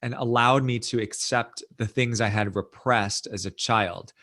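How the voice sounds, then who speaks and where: A man from the United States, a guest author, recounting his life in a thoughtful, measured interview, often adding "you know" and "right?". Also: medium pitch, bright, forceful tone. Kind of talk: reflective storytelling in interview.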